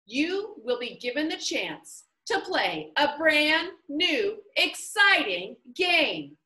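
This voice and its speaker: A woman's voice: high voice, very animated, slow, pushed and bright, voice pushed up, heavily acted. Woman reading as a showy, eccentric game-maker. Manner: theatrical, playful announcing. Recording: roomy, somewhat distant mic.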